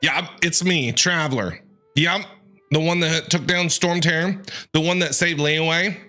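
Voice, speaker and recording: A man streaming a video game, giving playful, gushing and excitable commentary. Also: fairly high pitch, a little slow. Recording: game music behind the voice.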